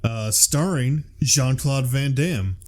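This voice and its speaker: A man's voice: medium pitch, takes it slowly, a little mellow. Casual, sweary man hosting a podcast. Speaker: podcast host. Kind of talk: casual chat, reading out film trivia.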